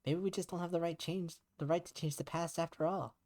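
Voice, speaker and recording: Man voicing a higher-pitched, earnest young woman. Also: steady tone, a little fast, a little mellow, close to an everyday voice. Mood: frank, understanding. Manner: questioning, frank, insistent. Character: earnest, blunt but caring young woman.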